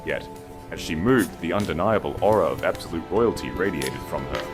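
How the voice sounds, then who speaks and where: A man's voice: low-pitched, animated, fairly quick. A man giving a dramatic, scripted narration of a period romance story. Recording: voice over loud music.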